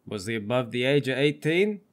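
A man's voice: mid-range voice, very animated, brisk, voice pushed down, lightly acted. Casting: man as oversharing dispatcher for comic roleplay, stubborn, chatty, matter-of-fact. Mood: deadpan. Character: unhelpful, stubborn, oversharing male police dispatcher. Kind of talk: improvised comedy tabletop roleplay.

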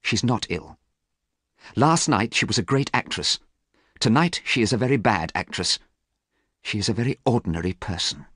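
Man acting young gentleman, proud, bitter, lovelorn, expressive pitch, storybook dialogue. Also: moderate pace, long pauses, breathy edge, dry recording, clean studio sound.

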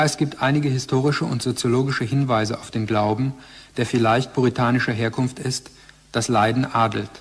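An actor in a German radio play playing a learned lecturer reciting scholarly text in a formal, measured way. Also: male voice, medium pitch, close to an everyday voice. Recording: long hall-like reverb.

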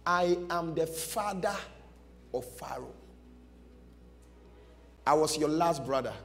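Male pastor, higher-pitched, emphatic sermon storyteller in a reverberant hall. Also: slow. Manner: emphatic, repeats short phrases, acts out dialogue. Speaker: pastor preaching. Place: large church stage before a seated audience. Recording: music behind, long hall reverb.

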